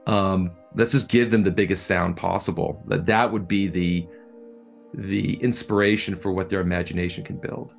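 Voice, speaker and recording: A man answering interview questions as a producer, speaking thoughtfully and conversationally, often halting and repeating words. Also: deep voice, steady tone, gravelly, soft background music.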